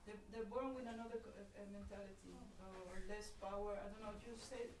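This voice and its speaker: A woman from Chile speaking up from the audience, halting and restarting as she searches for words. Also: mid-range voice, fairly even intonation. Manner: hesitant, halting, many restarts. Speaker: audience member asking a question. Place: meeting room. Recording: echoey room from far off, noisy.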